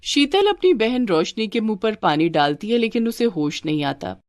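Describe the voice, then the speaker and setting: A woman narrates a scripted moral story. Her voice sits at a medium pitch, and the recording is close and dry. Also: big pitch swings, normal speed, darkish tone, dry recording.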